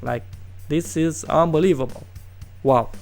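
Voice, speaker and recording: A man's voice: fairly high pitch, measured pace, vocal fry, darkish tone, soft background music. A man who is not a native English speaker, narrating online stories as a host and adding casual, incredulous asides. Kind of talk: story narration with casual reactions.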